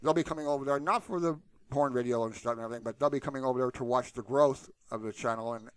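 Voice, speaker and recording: A man talks through a screen share in a casual, unscripted style. His delivery is blunt and colloquial, and he rambles as he goes. His mid-pitched voice is recorded close and dry. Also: quick pace, clean tone, no echo. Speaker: tutorial channel host.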